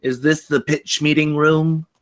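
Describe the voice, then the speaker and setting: Man acting a measly, officious little businessman, slow-spoken. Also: a little high, little pitch movement, pitched above the performer's own voice, natural voice. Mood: polite query. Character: measly little 38-year-old businessman, stubbornly officious underling.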